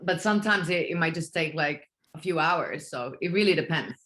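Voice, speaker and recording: A woman with a Finland-Swedish accent, her first language Swedish, answering interview questions over a call from home. Her voice is bright and clear, and she speaks softly and hesitantly, with plenty of fillers and restarts. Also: sits low, animated, moderate pace. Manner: soft-spoken, hesitant, lots of fillers and restarts. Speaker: guest singer-songwriter. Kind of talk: casual interview chat. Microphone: remote call from home.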